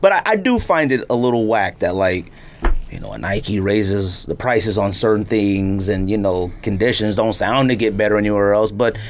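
A man's voice: lowish voice, normal speed, a little hiss or hum. A man hosting a podcast in casual, slangy chat, telling stories with acted-out quotes. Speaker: podcast host. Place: indoor podcast studio.

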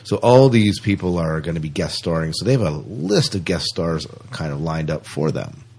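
A man's voice: deep voice, lively intonation, moderate pace, darkish tone, slightly rough. A man hosting a podcast in casual, unscripted chat about sci-fi news, rambling and thinking aloud as he goes. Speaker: podcast host.

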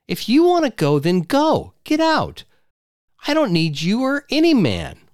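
A man voicing a shrill, furious young wife and mother who screeches accusations at her husband. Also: wildly swooping pitch, measured pace, darkish tone, dry recording, studio quality, full character voice.

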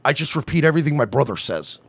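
A man's voice: mid-range voice, fast talker, gravelly, slightly rough, no echo. A man co-hosting a podcast, riffing in crude comedic banter with mocking jokes and frequent laughs. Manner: mocking, animated, laughs often. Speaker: podcast co-host.

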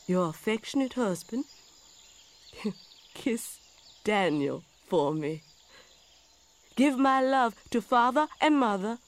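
Slow, tender letter-writing soldier. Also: female voice, fairly high pitch, a little hiss or hum. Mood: affectionate. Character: freed soldier writing a tender letter home to his wife. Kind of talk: dramatic reading of historical letters.

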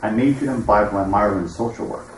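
A man's voice: lowish voice, fast talker. Distant, echoey man from the audience. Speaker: audience member commenting. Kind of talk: spoken comment with personal example. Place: room with an audience. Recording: distant, echoey, noisy room pickup.

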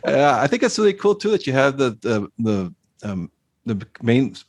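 A man's voice: mid-range voice, very animated, moderate pace, dry recording, clean studio sound. A man from Costa Rica interviewing an author, speaking casually with many fillers and repeated words. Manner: hesitant, many fillers and repeats, laughs often.

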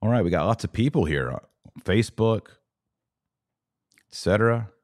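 American man, very low voice. Podcast host, conversational but preachy, talking faith and politics to live viewers. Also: animated, moderate pace. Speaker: podcast host and religious commentator. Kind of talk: live religious and political commentary, answering viewer comments.

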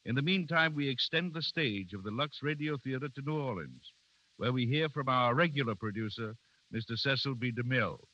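A man's voice: mid-range voice, expressive, brisk, bright, forceful tone. A man announcing in the formal, scripted manner of 1930s network radio. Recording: muffled 1930s radio recording.

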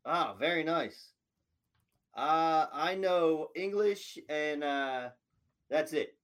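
A man's voice: fairly high pitch, drawn-out words. Easygoing man hosting a podcast. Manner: relaxed, filler-heavy questioning. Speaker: podcast host. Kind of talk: casual interview chat.

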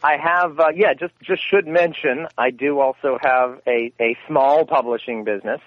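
Interview guest: man, halting and self-effacing, dry phone-quality sound with no room. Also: medium pitch, no echo. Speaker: interview guest, radio theatre producer. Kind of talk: casual interview. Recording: very narrow, tinny phone-like line.

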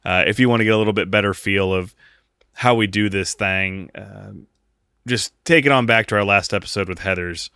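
Man, podcast co-host, bright voice, dry close mic, rambling jokey chat. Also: fairly low pitch, a little fast, clear, resonant tone, no echo. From United States. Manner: rambling, jokey, laughs often.

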